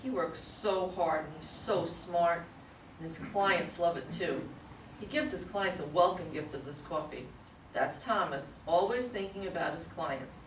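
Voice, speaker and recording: A woman's voice: lowish voice, measured pace, close to an everyday voice. A woman playing a chatty, devoted secretary who gushes about her boss, eager to please, in a staged play reading. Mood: gushing. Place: room at a live readers' theater reading. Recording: echoey room, distant mic, faint noise.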